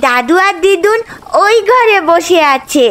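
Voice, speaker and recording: A woman's voice: very high voice, big pitch swings, a little slow, a bit bright, full character voice. A woman voicing a sweet, eager little village girl in a cartoon, calling out in a childlike singsong, with music behind her. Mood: excited. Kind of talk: cartoon voice acting.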